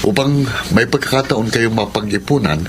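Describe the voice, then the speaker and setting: Man as a kind charity host, lowish voice, formal and reassuring, noise behind. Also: normal speed, close to an everyday voice.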